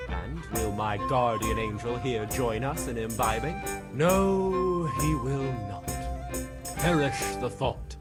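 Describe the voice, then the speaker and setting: Man acting a roguish young nobleman over loud music. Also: medium pitch, slow pace, natural voice. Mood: jovial, mock-suffering. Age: young adult. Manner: florid, wry. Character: roguish, lusty young medieval nobleman fond of drink. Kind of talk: video game cutscene acting.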